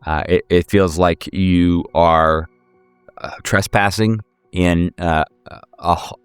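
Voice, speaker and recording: Low, smooth-voiced man running a horror game. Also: fairly even intonation, slow, no room sound, soft background music. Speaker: game master and host. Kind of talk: improvised horror tabletop game narration mixed with casual chat.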